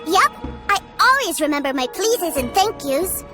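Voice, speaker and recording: Little boy, wide-eyed, earnest, innocent, tiny high voice, bouncy intonation, video game acting. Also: sing-song, normal speed. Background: background game music.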